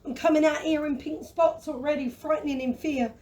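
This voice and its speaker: A woman acting a gentle, cowardly dragon in a children's audio play, theatrical, fussy and exclamatory. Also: high-pitched, fairly even intonation, brisk, natural voice. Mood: indignant, pleading. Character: flamboyant, cowardly, gentle dragon who shuns fighting. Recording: distant microphone.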